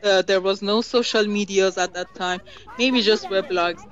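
A woman from Iran in her thirties, speaking English as a second language in an interview, with many pauses and fillers. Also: mid-range voice, fairly even intonation, lo-fi sound. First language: Persian. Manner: hesitant, many fillers and restarts. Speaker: activist. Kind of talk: interview answers.

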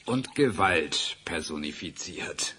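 An actor playing a suave, sinister orchid grower in a radio play, theatrical, with noticeable noise behind him. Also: male voice, deep voice, expressive, slow. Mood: silky, musing. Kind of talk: German radio play acting.

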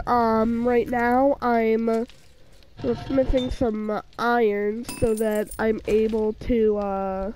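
A woman plays a survival game and talks it through at an unhurried pace, her voice a little off because she's sick. She rambles, restarts her sentences and fills the gaps with "um". Her slightly high voice sounds soft and dark, with a faint hiss behind it. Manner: rambling, hesitant, many fillers and restarts. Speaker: gaming channel host. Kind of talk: casual let's-play commentary.

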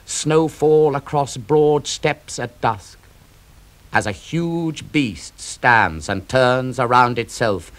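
A man narrating an epic poem in a scripted reading, with a measured and dramatic delivery. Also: medium pitch, a bit bright.

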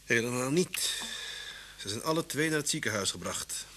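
Bright, animated wry detective. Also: male voice, mid-range voice, normal speed. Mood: grave, explanatory. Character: lively male Scotland Yard detective, wry, brisk husband. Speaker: actor in a radio play. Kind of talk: vintage Dutch radio drama acting. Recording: noisy old radio recording with hum.

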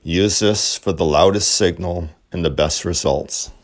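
Low-pitched, slow-talking man. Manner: measured, matter-of-fact, short phrases with pauses. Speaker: collector demonstrating vintage radios. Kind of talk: instructional narration.

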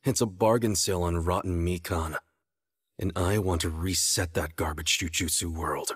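A man's voice: low voice, fairly even intonation, moderate pace, breathy edge, no echo, clean studio sound. A man voicing a cocky, playful young sorcerer teacher in an anime dub, with a breezy, teasing, theatrical manner. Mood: low, cynical. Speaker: voice actor in an English anime dub. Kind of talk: animated dub dialogue.

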